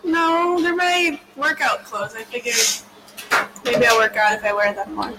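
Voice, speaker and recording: A woman's voice: on the high side, measured pace. A woman in her thirties, a playful and giggly guest on a podcast. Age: 30s. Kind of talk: casual chat. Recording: loud background noise.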